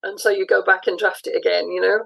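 A woman's voice: mid-range voice, little pitch movement, fast, gravelly, fairly bright tone, slightly rough, poor audio. A woman in her sixties speaking as an interview guest, reflecting and explaining thoughtfully in a conversational way. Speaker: interview guest, audio drama writer.

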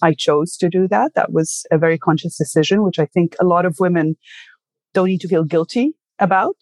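A woman's voice: on the low side, brisk, darkish tone, no room sound. A woman in her sixties from Egypt, telling her life story in a conversational interview, thoughtful and with many fillers and self-corrections. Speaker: interview guest. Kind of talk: casual conversation.

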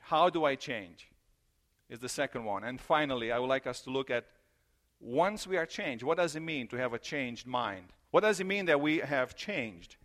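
A man preaching a sermon in English, his second language, earnest and repeating key phrases, slowing down for emphasis. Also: on the high side, a bit bright. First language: not English. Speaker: pastor.

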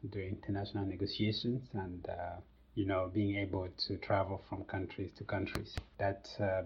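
A young Cameroonian-accented man with a fairly low, husky voice tells his story as a podcast guest. He talks in a measured way and pauses often on "uh". The recording sounds dull and lo-fi. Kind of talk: casual interview storytelling.

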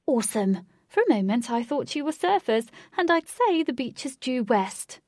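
A woman's voice: high-pitched, sing-song, normal speed, a little mellow, dry recording, clean studio sound, voice pushed up. A woman voicing a brash, boastful young British man with a posh accent and gushing manner in an audiobook. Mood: jovial, amused. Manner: gushing, exclamatory. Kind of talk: audiobook character voice.

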